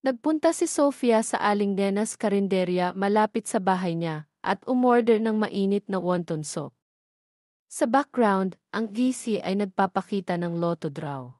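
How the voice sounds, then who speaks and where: A woman reading a scripted romance audio story in steady narration. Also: medium pitch, dry recording, studio quality.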